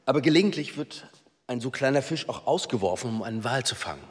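An actor playing a shrewd, deferential senior police commissioner in a radio drama, measured, formal and dryly witty. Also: male voice, mid-range voice, animated, close to an everyday voice. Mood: dry, sly.

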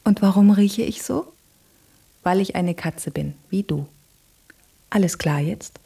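A woman's voice: medium pitch, slow, vocal fry, warm tone, slightly rough, full character voice. An actress playing a flirtatious, self-assured female cat in a radio play, coaxing and often dropping to a murmur. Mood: seductive, confident. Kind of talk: German radio play acting.

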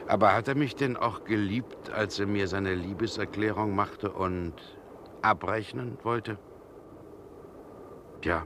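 An actor playing a mocking, self-assured gentleman in a deliberate, musing manner, in the style of a 1960s radio play. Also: lowish voice. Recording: noisy vintage 1960 radio recording.

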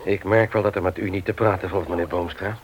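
A man's voice: sits low, steady tone, fast talker, natural voice. An actor playing a stern, moralizing man who lectures emphatically in a radio play. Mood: lecturing, firm. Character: stern, moralizing man confronting a petty thief. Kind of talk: Dutch radio play acting. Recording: muffled vintage radio recording.

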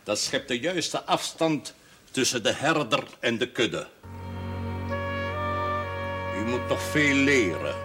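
A man's voice: mid-range voice, animated, music in the background, lightly acted. Stern, pompous old pastor, played by a man. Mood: reproachful, imperious. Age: older. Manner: formal, pompous, measured and admonishing. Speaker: actor in a television drama series. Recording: faint background noise, old television audio.

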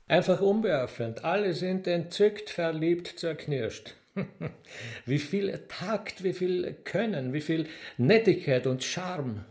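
An actor playing a gushing, theatrical demon courtier in a radio play, effusive and giggly in manner. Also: male voice, fairly high pitch, animated, slow, a little mellow.

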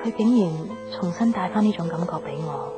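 An actress playing a gentle young career woman in love in a radio drama, speaking Hong Kong Cantonese. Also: fairly low pitch, lively intonation, a little fast, lightly acted. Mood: wistful. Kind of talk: radio drama narration and dialogue. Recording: music behind the voice.

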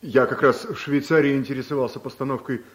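A charming, self-satisfied deputy, as an actor plays him in a vintage radio comedy. His voice sits at a medium pitch, and he delivers every line with easy, showy polish. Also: steady tone, fast, lightly acted. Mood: suave. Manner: suave, showing off. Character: smooth, boastful man, newly arrived deputy. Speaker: deputy director. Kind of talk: 1970s studio radio comedy acting.

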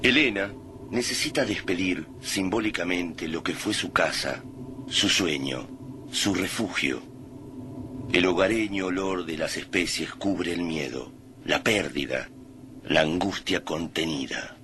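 Man acting a very deep, solemn radio narrator. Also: a little slow, background noise. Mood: somber, suspenseful. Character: solemn male narrator of a science-fiction invasion tale. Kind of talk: dramatic radio play narration.

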